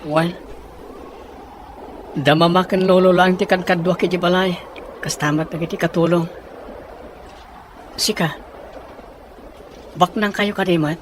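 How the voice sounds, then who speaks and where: A man playing a sheltered, sympathetic young man from a rich family in an audio drama, hesitant and gentle, with a northern Philippine accent. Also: high voice, steady tone, unhurried, pauses often. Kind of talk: acted audio drama dialogue. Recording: faint background noise.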